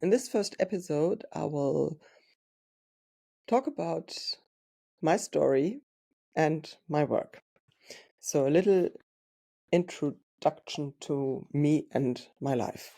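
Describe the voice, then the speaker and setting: A woman with a German accent speaks slowly and thoughtfully in a podcast monologue. Her voice is mellow and dark with a gravelly fry. She pauses to search for words as she goes. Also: low-pitched, lively intonation. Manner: slow, reflective, searching for words. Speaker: podcast host and dog trainer.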